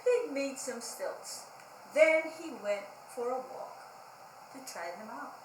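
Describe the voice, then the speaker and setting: A woman hosting children's storytime, speaking in a sing-song, deliberate way with simple phrasing, as for reading a picture book aloud. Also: sits high, expressive, slight background noise. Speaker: children's library storytime host. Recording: roomy echo.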